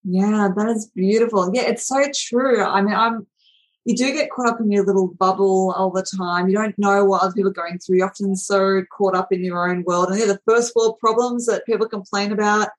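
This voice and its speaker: A woman's voice: medium pitch, fairly even intonation, fairly quick, poor audio. A woman hosting a podcast interview, chatty and affirming, with frequent fillers as she responds to her guest. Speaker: podcast host.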